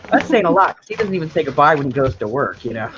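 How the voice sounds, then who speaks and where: A woman's voice: sits low, very animated, fast talker, dull audio, crowd noise. A woman in her forties co-hosting a casual livestream. She sounds congested and stuffed up, and she teases in a playful way. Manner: teasing and playful. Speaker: co-host of a live chat show.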